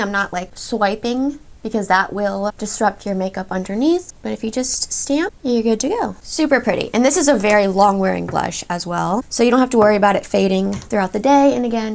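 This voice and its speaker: A woman's voice: medium pitch, a little fast, creaky, hardly any pauses. A woman in her thirties, a beauty vlogger, chatting through a makeup tutorial in a gushing, chatty way, a little congested from a cold. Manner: gushing, chatty product reviews. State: slightly congested.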